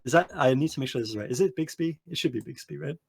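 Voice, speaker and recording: A man's voice: medium pitch, rapid-fire, creaky, a little mellow, a little hiss or hum. A man casting a live esports stream, enthusiastically thanking donors while his voice is tiring. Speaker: esports caster.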